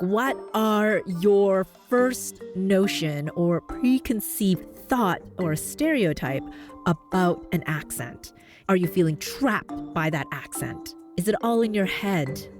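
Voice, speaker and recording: A woman from Canada with a neutral North American accent, hosting a podcast as a voice coach. She talks in an upbeat, encouraging way and jumps up in pitch for emphasis. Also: lively intonation, takes it slowly, music in the background. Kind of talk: scripted podcast monologue.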